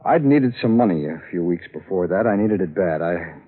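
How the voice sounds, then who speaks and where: A jumpy defensive photographer, lowish voice, stammers, tinny old radio sound. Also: male voice, expressive, normal speed. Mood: nervous explaining. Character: nervous, defensive male photographer with a past. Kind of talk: 1950s radio drama acting. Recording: narrow, phone-like old radio broadcast.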